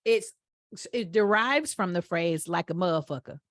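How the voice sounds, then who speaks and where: A woman's voice: on the low side, animated, normal speed, no room sound, studio quality. A woman from Atlanta with a Southern accent, co-hosting a podcast in casual chat, playful and laughing as she explains with examples. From Atlanta, USA. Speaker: podcast co-host.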